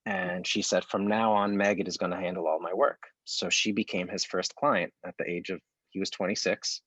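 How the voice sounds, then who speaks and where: A man in conversation about his family and work, talking haltingly with many fillers and restarts over a video call. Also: deep voice, steady tone, a bit bright, husky, no echo. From United States. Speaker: artistic director. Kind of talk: conversational interview.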